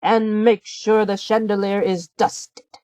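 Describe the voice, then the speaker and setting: A woman's voice: medium pitch, flat delivery, slow pace, mellow, dry recording, lightly acted. A woman voicing a mean, stern stepmother in a storybook, firm and commanding.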